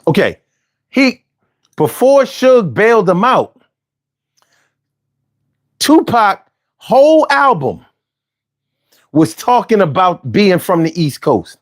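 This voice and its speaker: Man in his forties, philly accent, argumentative, slangy, lively pitch swings. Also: takes it slowly, pauses often. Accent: Philadelphia. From Philadelphia. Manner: animated, argumentative, slangy, restarts often. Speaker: host. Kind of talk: casual opinionated monologue.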